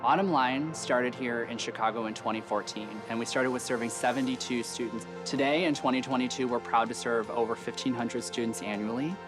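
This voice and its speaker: A man's voice: mid-range voice, fairly even intonation, a little fast, few pauses. Man narrating over music. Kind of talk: promotional video narration.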